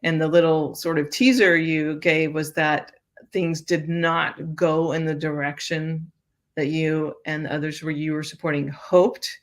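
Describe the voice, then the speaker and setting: Halting older woman hosting a talk show. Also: deep voice, little pitch movement. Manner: halting, with many fillers and restarts. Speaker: host. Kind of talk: casual interview.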